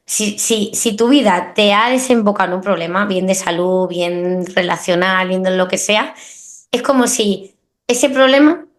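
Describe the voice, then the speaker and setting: A woman hosts a podcast interview. She reflects aloud, tossing in tag questions and restarting her phrases. Her voice has a middle pitch. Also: measured pace. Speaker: podcast host.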